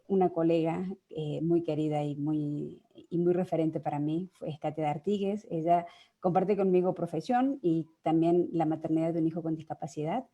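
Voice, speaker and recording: A woman from Argentina, a journalist speaking earnestly over a video call and pausing often on fillers. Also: low-pitched, dark tone. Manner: earnest, hesitant with many fillers. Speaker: journalist guest. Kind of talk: personal account on a panel.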